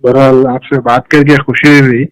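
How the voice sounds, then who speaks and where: A man's voice: medium pitch, little pitch movement, normal speed, smooth, no room sound. A man interviewing politely on a recorded phone call, often giving brief acknowledgements. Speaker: caller interviewing for a news channel.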